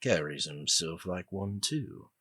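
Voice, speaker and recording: A man voicing a composed older male colonel with a British accent, speaking in a measured way. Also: low-pitched, animated, bright, forceful tone, breathy edge, no room sound, clean studio sound, full character voice.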